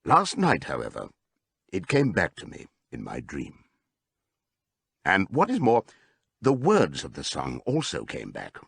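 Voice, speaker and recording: A man voicing an old male pig in an audiobook, giving a solemn, deliberate, rousing speech to his comrades. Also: sits low, wildly swooping pitch, fairly bright tone, dry recording, pitched below the performer's own voice, exaggerated voice. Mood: grave, reminiscing. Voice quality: hoarse as scripted old voice. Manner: oratorical, deliberate.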